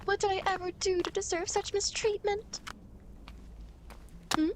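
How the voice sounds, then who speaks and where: A woman's voice: very high voice, fairly even intonation, a little slow, slight background noise. A woman playing a playful, vain female demon who guides souls through the afterlife in an ASMR roleplay, teasing, smug and mock-indignant.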